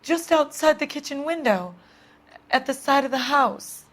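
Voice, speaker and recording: An actress playing an anxious, genteel housewife in a crime game, her voice slightly husky. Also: high-pitched, animated, a little slow, a bit bright. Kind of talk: cinematic game acting.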